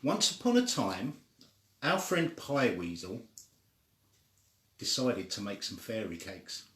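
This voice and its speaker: An older man reading a children's story aloud informally, with a halting, deliberate delivery. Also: on the low side, sing-song, a bit bright. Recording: echoey room.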